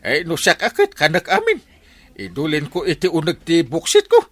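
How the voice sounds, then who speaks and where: An actor with an Ilocano accent playing a hungry, joking middle-aged compadre in a radio comedy, laughing and teasing often. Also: male voice, on the high side, huge pitch swings, moderate pace, fairly bright tone, full character voice. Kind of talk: 1950s-style radio comedy acting.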